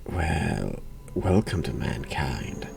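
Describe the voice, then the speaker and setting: A man streaming a horror game, giving casual, dry, sardonic replies in a deadpan way. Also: a bass voice, very slow, gravelly. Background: music.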